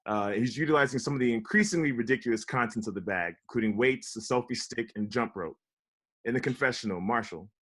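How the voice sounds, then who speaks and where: A man's voice: medium pitch, fast, fairly bright tone. Man reading stage directions at a table read. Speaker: table read narrator and organizer. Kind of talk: reading screen directions, then casual chat.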